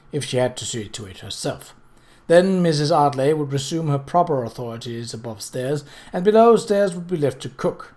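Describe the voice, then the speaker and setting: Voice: mid-range voice, darkish tone. A person reading a novel aloud as scripted narration, occasionally stumbling over words and rereading them. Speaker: audiobook reader.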